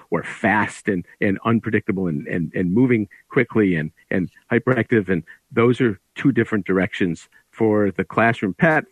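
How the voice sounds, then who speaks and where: Husky, low-voiced man chatting. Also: no echo, rough recording. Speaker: author discussing his book. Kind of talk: conversational interview talk.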